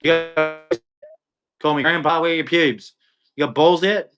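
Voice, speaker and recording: A man's voice: fairly high pitch, steady tone, unhurried, fairly bright tone, faint music behind. An Australian man streaming a blunt, confrontational rant to his chat, ending with a preachy religious sign-off. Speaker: live streamer. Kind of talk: ranting monologue to chat.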